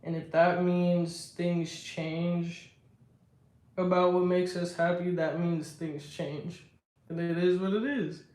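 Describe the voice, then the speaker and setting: A young woman with a deep, strong voice talks slowly, taking her time between thoughts. She speaks with calm self-assurance on a casual live stream. Her voice bounces around an echoey room. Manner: unhurried, reflective, self-assured.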